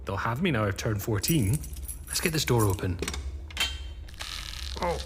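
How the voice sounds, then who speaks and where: A man's voice: medium pitch, expressive, measured pace, natural voice. An actor voicing an eager, impatient fourteen-year-old boy in a period audio drama. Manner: impatient, teasing, adventurous. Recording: long hall reverb with faint hiss.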